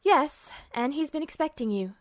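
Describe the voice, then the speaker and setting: A woman voicing a calm, composed female officer with a neutral tone in a storybook reading. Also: high voice, moderate pace, big-room sound. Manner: neutral, even-toned.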